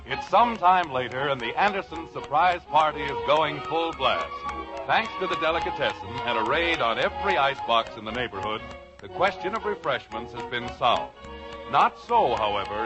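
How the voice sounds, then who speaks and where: A man's voice: mid-range voice, expressive, normal speed. A man with an American accent reading scripted narration as a 1950s radio announcer. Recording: muffled old radio broadcast, music behind. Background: music.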